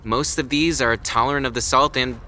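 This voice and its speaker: A man's voice: mid-range voice, steady tone, normal speed, pushed and bright, slight background noise, natural voice. An actor playing the cheeky, sarcastic male sidekick of a grumpy boss in an audio drama, teasing playfully.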